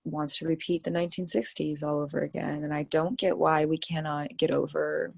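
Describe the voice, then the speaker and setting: A woman's voice: low-pitched, little pitch movement, brisk, creaky, breathy edge. A young woman hosting a casual podcast chat, talking in a thoughtful, earnest way. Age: young adult.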